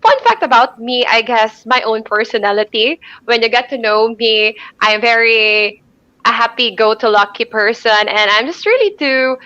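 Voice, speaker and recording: A woman's voice: fairly high pitch, lively intonation, normal speed, bright, forceful tone, no room sound. Filipina in her 20s on a video call, dull dry sound, cheery, kinda hesitant. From Philippines. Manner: cheerful, laughing, slightly hesitant. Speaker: pageant candidate. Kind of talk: casual interview answers.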